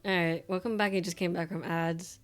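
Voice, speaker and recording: A woman's voice: fairly low pitch, steady tone, a little fast, soft background music. Casual Australian woman, rambling chat. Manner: loose and rambling, with casual swearing and many fillers. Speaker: streamer.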